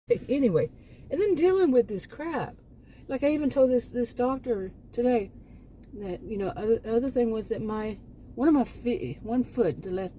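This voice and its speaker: Mellow-voiced woman in her late fifties. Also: mid-range voice, a little hiss or hum, rough recording. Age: 50s. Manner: rambling, chatty, with frequent restarts and asides. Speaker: vlogger. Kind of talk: casual chat to camera.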